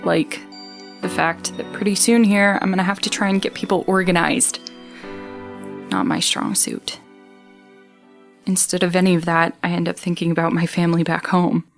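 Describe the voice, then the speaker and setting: A woman's voice: on the low side, steady tone, moderate pace, gravelly, long pauses. A young woman acting as a worried, weary stranded student in a sci-fi audio drama, speaking in a hesitant, introspective monologue. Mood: worried, downcast. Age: young adult. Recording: prominent music behind the voice.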